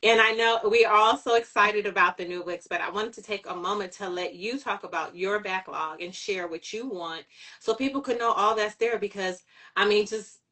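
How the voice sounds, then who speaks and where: A woman hosting an interview, excitable and affirming, often opening with "listen.". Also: medium pitch. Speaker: interview host. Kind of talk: casual interview chat.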